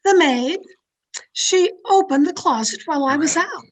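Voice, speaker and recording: Actress as high-voiced, secretive radio mystery murderess. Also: female voice, close to an everyday voice. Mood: urgent, agitated. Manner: deliberate, dramatic, suspenseful delivery. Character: Carola Winter, tense, secretive woman narrating her own crime. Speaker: actor in an online radio play performance. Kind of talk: 1940s radio mystery acting, first-person narration. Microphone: video call. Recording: noisy home video-call recording.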